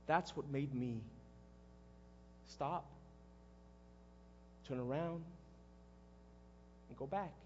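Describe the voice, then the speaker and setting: An actor playing a guilt-ridden, impulsive fisherman disciple in a halting, conversational dramatic monologue, with a husky male voice. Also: on the high side, highs cut off, slight background noise. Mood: sorrowful, subdued. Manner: halting, conversational, erupting into shouts. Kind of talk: dramatic first-person monologue.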